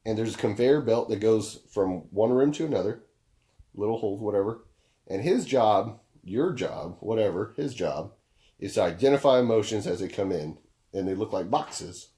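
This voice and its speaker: A young man with a deep baritone, chatting casually as a podcast co-host. His voice is low and mellow, and he is laid-back, swearing freely while working through long explanations.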